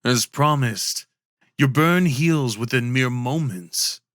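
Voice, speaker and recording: Guy narrating an asmr fantasy story, really slow and measured, lowish-average pitch, no room echo. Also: fairly bright tone, clean studio sound. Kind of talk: scripted second-person story narration.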